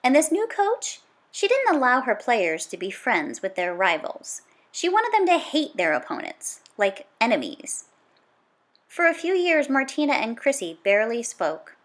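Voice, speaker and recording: A woman reading a children's nonfiction book aloud in a dramatic, emphatic storytelling manner, with punchy exclamations and drawn-out key words. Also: on the high side, wildly swooping pitch, fairly bright tone. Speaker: storybook read-aloud reader.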